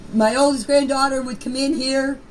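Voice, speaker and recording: High-voiced older woman, plain-spoken, noisy recording. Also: fairly even intonation, moderate pace. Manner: plain-spoken, folksy, repeats phrases. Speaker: grandmother recounting memories. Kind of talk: informal personal recollection. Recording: clear background noise, amateur voice clip.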